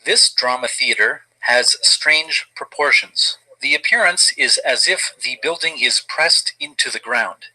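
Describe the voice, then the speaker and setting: A man reading scripted documentary narration in a flat, measured way. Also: medium pitch, poor audio.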